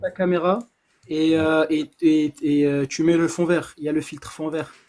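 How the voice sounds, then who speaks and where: A man with a slightly high voice who cuts in bluntly during a live debate. He uses street slang and lectures the others insistently when he makes a point. His tone is combative and direct. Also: level tone, normal speed, darkish tone. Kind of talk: heated casual debate.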